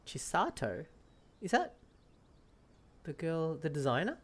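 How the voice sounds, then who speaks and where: A man's voice: fairly high pitch, wildly swooping pitch, takes it slowly. A man giving casual playthrough commentary as a streamer, chatty and musing aloud. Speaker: game streamer.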